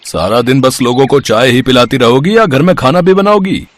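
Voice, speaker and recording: A man's voice: mid-range voice, normal speed, close to an everyday voice. A man playing an exasperated poor village labourer husband, complaining and exclaiming in a bedtime story. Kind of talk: acted dialogue in a bedtime story.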